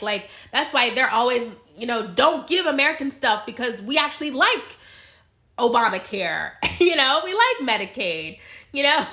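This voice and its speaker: A woman's voice: high voice, pushed and bright. A woman with a cold and a stuffed-up nose, chatting frankly to camera in a casual vlog and wandering off on tangents. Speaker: vlogger. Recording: echoey room.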